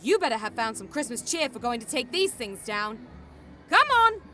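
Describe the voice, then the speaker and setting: A woman's voice: on the high side, big pitch swings, normal speed, pushed and bright. A woman playing a bossy, feisty wizard ghost-hunter in a radio drama, sardonic and exclamatory. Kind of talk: fan radio drama acting.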